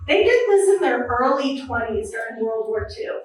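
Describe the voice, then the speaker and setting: A woman's voice: fairly high pitch, sing-song, moderate pace, faint music behind. A woman in her twenties doing a nervous, self-deprecating stand-up set at an open mic, full of ums. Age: 20s. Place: comedy club or small venue. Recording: very echoey room from a distance.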